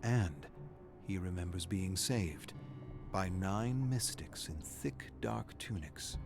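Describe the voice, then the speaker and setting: Ominous horror game narrator, lowish pitch, grave, deliberate, dramatic, music underneath. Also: male voice, expressive, heavily acted. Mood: grim, mysterious. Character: brooding, ominous male narrator of horror lore. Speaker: narrator of game lore readings.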